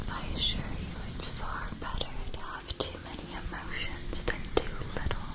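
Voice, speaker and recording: A woman playing an elegant, gracious witch shopkeeper in a whispered ASMR fantasy roleplay, speaking softly with refined, careful, formal phrasing. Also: fairly low pitch, poor audio. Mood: gently reassuring. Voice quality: soft breathy whisper.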